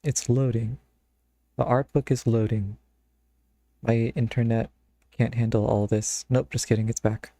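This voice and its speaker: A man's voice: mid-range voice, level tone, a little slow, pauses often, warm tone, dry recording. A man streaming live, chatting casually and thinking aloud in a rambling way into a close mic. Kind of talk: casual live-stream chat while browsing games.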